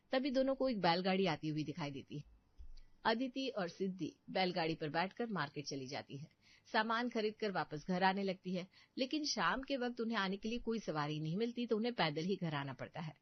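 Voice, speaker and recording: A woman narrator tells a scripted family cartoon story. Her pitch is middling for a woman. The recording has almost no room sound and is a little dull. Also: lively intonation, a little fast, no room sound.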